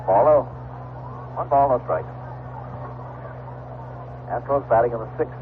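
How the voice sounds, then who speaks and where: A man's voice: medium pitch, measured pace. Guy doing live baseball play-by-play on old 70s radio, thin tinny sound w/ lots of noise. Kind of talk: live radio sports commentary. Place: ballpark broadcast booth. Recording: tinny, noisy 1970s radio broadcast.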